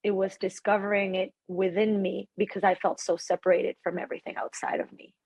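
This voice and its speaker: A woman from Ecuador, a podcast guest, talking in a reflective, animated way about her life. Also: mid-range voice, brisk, slightly rough, no room sound, low-quality recording. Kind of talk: casual conversation.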